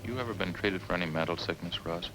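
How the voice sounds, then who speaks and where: Low-voiced businesslike detective played by a man. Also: fast, close to an everyday voice, poor audio. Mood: probing, even. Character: businesslike male police detective questioning a suspect. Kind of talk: old-time radio police drama acting. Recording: old radio recording with music behind.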